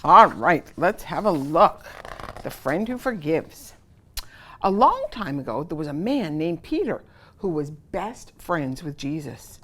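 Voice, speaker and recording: Woman reading a kids picture book, lowish voice that swings all over, keeps asking questions. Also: a little slow. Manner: expressive, asking listeners questions. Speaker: children's story time host, reading aloud. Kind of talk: animated picture-book read-aloud for children.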